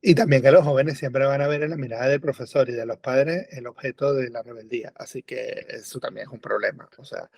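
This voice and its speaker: A Venezuelan-born man chatting as a radio guest, animated and full of fillers and rephrasing. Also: medium pitch, brisk, gravelly, hardly any pauses, husky, dry recording. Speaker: guest, book editor. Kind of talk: casual radio conversation. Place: remote call or studio, lives in Europe.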